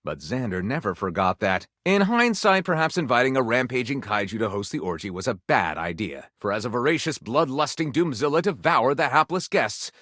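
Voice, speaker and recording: Game narrator: theatrical, salacious, melodramatic storyteller, very lively intonation, dry studio sound. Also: male voice, mid-range voice, brisk, few pauses, no room sound. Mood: grandiose, dramatic. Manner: theatrical, suggestive, grandiose. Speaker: game character voice actor. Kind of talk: dramatic scripted narration.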